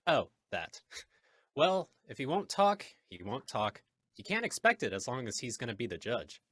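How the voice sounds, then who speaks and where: A man's voice: medium pitch, sing-song, moderate pace, fairly bright tone, dry recording, clean studio sound. A man playing an offhand but firm newspaper boss in an old-time radio drama. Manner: offhand, authoritative.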